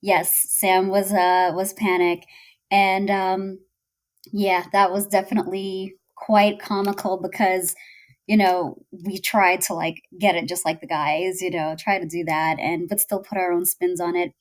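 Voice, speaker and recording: A woman's voice: lowish voice, little pitch movement, measured pace. A woman chatting casually on a podcast about filming a web series, using lots of filler words. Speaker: cast member recalling filming.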